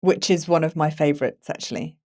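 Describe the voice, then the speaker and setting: A woman's voice: low-pitched, fairly quick, darkish tone. A woman hosting a podcast, talking casually and with enthusiasm. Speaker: podcast host. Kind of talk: casual discussion with passages read aloud.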